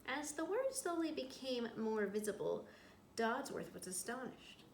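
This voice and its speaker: A woman with a fairly high voice reads a picture book to children as a school librarian. She tells it expressively and turns to her listeners with small asides. The room echoes around her and there is some background noise. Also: very animated, unhurried. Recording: roomy echo, clear background noise.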